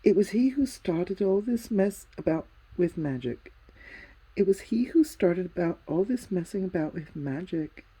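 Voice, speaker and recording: A woman's voice: fairly low pitch, expressive, unhurried, warm tone, breathy edge, pitched above the performer's own voice, rough recording. Woman doing a prim, sarcastic young girl. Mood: accusing. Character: sharp-tongued proper young English girl.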